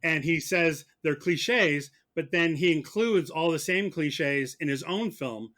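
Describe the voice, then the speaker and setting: A man arguing as a mock prosecutor in a podcast debate, halting and repeating words as he presses his point. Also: fairly high pitch, fairly bright tone. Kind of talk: comic debate on a film podcast.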